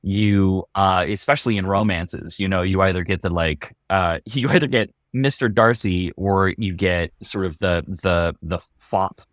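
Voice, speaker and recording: A man's voice: sits low, steady tone, measured pace, few pauses, dry recording, studio quality. A man, an actor in a casual interview, who repeats words as he searches for his phrasing.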